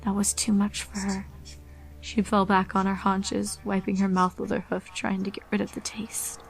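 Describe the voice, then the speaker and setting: A woman's voice: mid-range voice, completely flat delivery, normal speed, gravelly, soft background music, rough recording. A woman reading horror fan fiction as a dramatic scripted narration.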